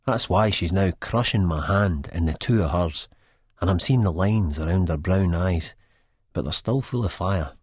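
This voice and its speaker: A man's voice: sits low, normal speed, husky, no echo, studio quality. A man with a Scottish accent playing a wry, reflective, disillusioned first-person narrator in an audiobook. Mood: uneasy. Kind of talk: first-person literary narration, character acting.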